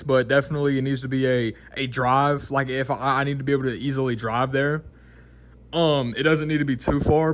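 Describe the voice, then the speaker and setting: Rambling young man, slightly high voice. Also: fairly bright tone. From Mississippi, American South. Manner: rambling, many restarts and fillers. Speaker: vlogger. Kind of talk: casual unscripted monologue.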